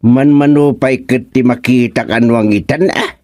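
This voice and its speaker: A man acting an excitable villager in an Ilocano radio comedy drama, with an Ilocano accent. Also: mid-range voice, unhurried, no room sound, clean studio sound, lightly acted. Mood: chatty.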